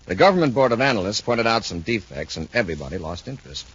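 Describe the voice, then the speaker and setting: An actor playing a calm, authoritative space patrol commander with a husky voice in a 1950s radio serial. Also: male voice, fairly low pitch, fast, full character voice. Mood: explaining. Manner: decisive, patiently explanatory. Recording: muffled 1950s radio recording.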